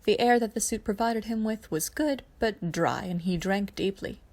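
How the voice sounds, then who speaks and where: A woman reading a science fiction story aloud as scripted narration, with a creak in her voice. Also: medium pitch, moderate pace, darkish tone.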